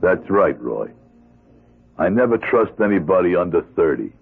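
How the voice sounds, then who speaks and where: An actor playing a weary, guarded middle-aged ex-convict in a radio drama, with an American accent and a gruff, terse, sardonic delivery. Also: male voice, low voice, big pitch swings, normal speed, phone-quality sound, exaggerated voice. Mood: grudging, gruff. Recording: band-limited radio drama recording with music.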